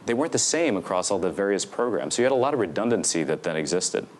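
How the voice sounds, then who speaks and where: Deep-voiced young man lecturing at a podium. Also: big pitch swings, fast talker, a little hiss or hum. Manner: fluent lecturing with frequent fillers. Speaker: conference speaker. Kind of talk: academic presentation. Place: lecture hall stage at a podium. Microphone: podium microphone.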